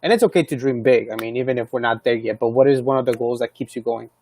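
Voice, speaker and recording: A man's voice: mid-range voice, fast, darkish tone. A man hosting a live stream, asking friendly, inquisitive questions and often cutting in with encouraging affirmations. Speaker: live stream host and interviewer. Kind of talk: casual interview questions.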